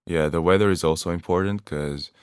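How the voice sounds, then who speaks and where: A young man from Bulgaria, a native Bulgarian speaker, chatting casually as a podcast guest, relaxed and laughing often. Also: low-pitched, brisk, clean tone, no echo, clean studio sound. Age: young adult.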